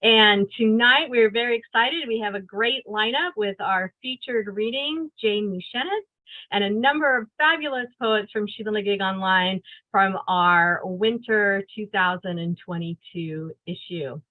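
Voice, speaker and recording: A woman's voice: mid-range voice, unhurried, bright, forceful tone, no echo, poor audio. A woman hosting and introducing a poetry reading over a video call, with small hesitations as she reads the introduction. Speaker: host and editor. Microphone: video call microphone.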